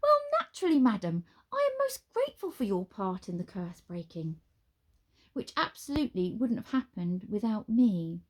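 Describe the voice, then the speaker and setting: A woman voicing a flamboyant, excitable male wizard who speaks in a grand, overly polite way. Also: mid-range voice, normal speed. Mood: grandiose.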